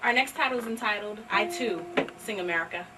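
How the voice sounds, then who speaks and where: A woman's voice: mid-range voice, brisk, noisy background. A woman presenting poetry to a live library audience, speaking plainly and putting questions to the listeners. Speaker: actress and poetry reader. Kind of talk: addressing a live audience between poems. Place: library event room. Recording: distant mic, loud piano music. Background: piano music.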